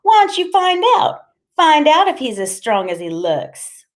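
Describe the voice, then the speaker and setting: A woman's voice: high voice, sing-song, a little slow, pitched above the performer's own voice. A woman narrator voicing a brash, giggly, taunting woman in an audiobook story, with slangy, needling delivery. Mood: goading, giggly.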